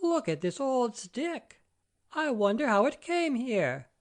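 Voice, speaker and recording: A man's voice: very high voice, sing-song, slow, voice pushed up, full character voice. A man voicing a cheerful little boy in a storybook reading. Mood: playful.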